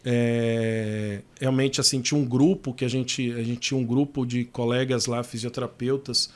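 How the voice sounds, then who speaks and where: A man's voice: mid-range voice, steady tone, moderate pace. A man, a physiotherapy professor chatting as an interview guest, telling his story at ease with fillers and restarts. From Salvador, Bahia, Brazil. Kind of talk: casual interview conversation.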